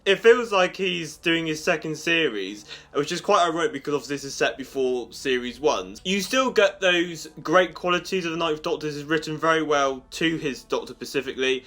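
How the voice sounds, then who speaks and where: A man's voice: on the high side, a bit bright. A man presenting a casual video review as the host, speaking in an enthusiastic manner.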